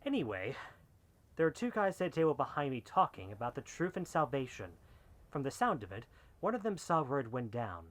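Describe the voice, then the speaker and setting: An actor playing an excitable outlaw henchman in a sci-fi western drama, with casual, wry talk. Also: medium pitch, brisk. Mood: reporting, uneasy.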